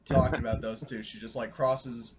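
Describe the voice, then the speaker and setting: A man's voice: mid-range voice, fairly even intonation, moderate pace, heavy background noise. A man casually narrating a character's actions as a player in a tabletop roleplaying game.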